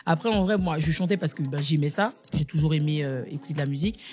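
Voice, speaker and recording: A young woman from Guiana, a singer answering interview questions casually, pausing often on fillers and restarting her sentences. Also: sits low, a little mellow. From French Guiana. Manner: hesitant, many fillers and restarts. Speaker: singer, guest.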